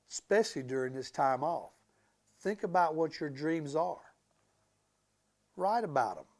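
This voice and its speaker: A man reads a children's book aloud in a slightly high, animated voice. His delivery is expressive and emphatic, and he steps out of the story to chat casually with the children listening. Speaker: school transportation director reading aloud to students.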